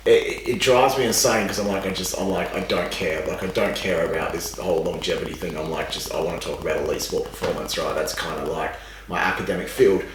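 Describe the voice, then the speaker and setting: Raspy, low-voiced man. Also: quick pace. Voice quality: raspy, dry. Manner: rambling, animated, swears casually. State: losing his voice, raspy. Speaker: podcast guest, exercise scientist. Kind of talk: casual podcast chat. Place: room with a seated podcast setup. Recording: echoey room, distant mic, faint noise.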